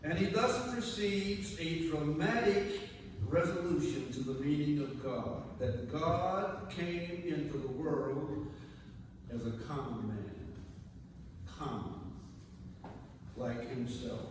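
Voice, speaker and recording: A man giving a solemn sacred reading at a church service, speaking deliberately with dramatic, oratorical phrasing and emphatic repetition. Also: a little high. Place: large reverberant church indoors. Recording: very echoey church hall, far mic, noisy.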